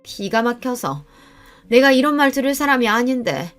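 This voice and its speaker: A woman's voice: on the high side, animated, moderate pace, a bit bright, faint music behind, pitched above the performer's own voice. A woman voicing a haughty, contemptuous middle-aged in-law who orders others about in a radio story drama. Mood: indignant, contemptuous.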